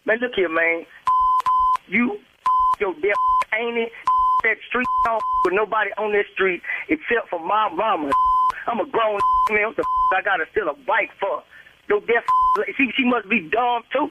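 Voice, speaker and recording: High-voiced indignant man on a muffled phone. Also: normal speed. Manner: blunt, indignant, repetitive. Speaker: prank call victim. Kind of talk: heated phone argument. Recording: muffled, noisy phone line with bleeps.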